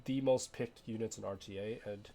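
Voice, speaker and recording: A young man, a little hoarse from being sick, talking casually through his game roster with frequent fillers and restarts. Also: lowish voice, steady tone, moderate pace, warm tone. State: hoarse from illness. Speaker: game streamer.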